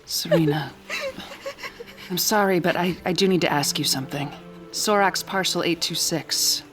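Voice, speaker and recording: An actress plays a compassionate woman who leads a band of secret ageless survivors. Her voice sits a little low, gentle and measured. Faint music runs behind this audio drama. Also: lightly acted. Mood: sympathetic.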